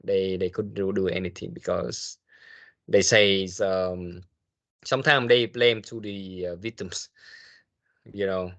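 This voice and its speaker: A man, speaking haltingly as he answers questions in an online meeting as a guest investigator. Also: low voice, fairly even intonation, fairly bright tone. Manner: halting, hesitant phrasing.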